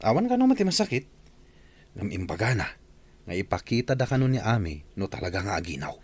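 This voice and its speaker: A man acting a nosy, excitable servant in an Ilocano radio comedy drama. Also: fairly low pitch, a little fast, slightly rough. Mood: gossiping.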